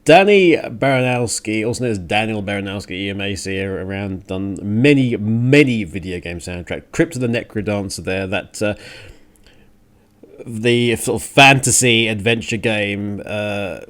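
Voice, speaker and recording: A man's voice: on the low side, measured pace, fairly bright tone. A man hosting a music radio show, rambling casually and thinking aloud with hesitant digressions.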